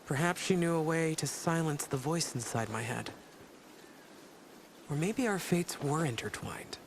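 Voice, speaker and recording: An actor playing a brooding inquisitor who narrates his dark fantasy journey in a video game, reflective and measured. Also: a little high, gravelly, a little hiss or hum, poor audio. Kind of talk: first-person scripted story narration.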